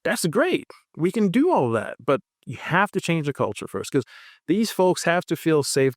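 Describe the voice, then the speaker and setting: A man's voice: high voice, no room sound, studio quality. A man from Haiti on a roundtable panel, talking emphatically and repeating his points for stress. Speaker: physician panelist. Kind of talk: roundtable discussion.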